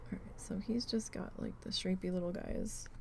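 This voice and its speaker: A woman losing her voice, chatting casually and slowly with self-deprecating asides while she does a craft project at home. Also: medium pitch, fairly even intonation, gravelly, darkish tone, a little hiss or hum. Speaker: needle felting crafter.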